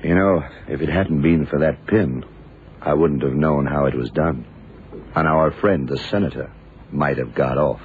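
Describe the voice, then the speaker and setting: A hard-boiled detective in an old radio drama, with an extremely deep voice. He lays things out shrewdly and deliberately in clipped sentences. The recording is muffled, with audible background noise. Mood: patient explaining. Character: shrewd, hard-boiled blind male detective confronting killers. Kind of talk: 1970s radio drama acting.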